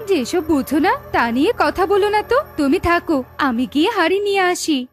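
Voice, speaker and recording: Woman doing a pushy greedy cartoon bird lady, high voice, demanding, music behind. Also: expressive, normal speed. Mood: gloating. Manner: demanding, boastful. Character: greedy, pushy, envious female bird villager. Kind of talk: children's cartoon moral story acting.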